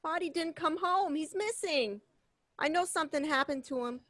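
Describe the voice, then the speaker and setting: Woman as an anxious wife, high-pitched, sharp bright tone, distraught, radio drama, dry sound. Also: normal speed, no room sound. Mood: worried, distraught. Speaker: amateur actor in a remote table read. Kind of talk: radio play table read.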